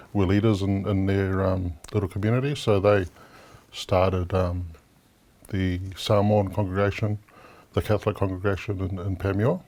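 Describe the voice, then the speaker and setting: Relaxed, low-voiced New Zealand man. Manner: relaxed, easygoing, hesitant. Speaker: guest co-host asking questions. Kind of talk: casual interview chat. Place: studio.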